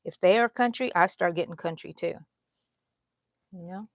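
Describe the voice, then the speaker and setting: A middle-aged woman from Texas, hosting a crochet live stream in a casual, chatty way, often jumping between loud talk and soft whispers. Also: lowish voice, normal speed, no room sound. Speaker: crochet channel host.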